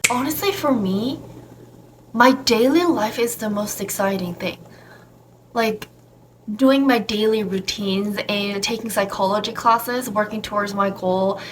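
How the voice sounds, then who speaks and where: A woman's voice: mid-range voice, unhurried, a little hiss or hum, rough recording. A young woman from Korea, a native Korean speaker talking in English, reflecting in a casual, chatty vlog with lots of filler words and restarts. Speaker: vlogger.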